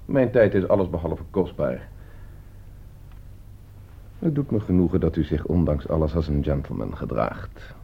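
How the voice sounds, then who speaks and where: A low-voiced schoolteacher who is controlled, courteous and quietly manipulative. He speaks as a radio drama villain would, on a muffled old recording. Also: animated, moderate pace, creaky, long pauses, a little hiss or hum, full character voice. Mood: smooth, insinuating. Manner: controlled, polite, insinuating. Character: calm, calculating male schoolteacher, polite but menacing manipulator. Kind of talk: Dutch radio play acting.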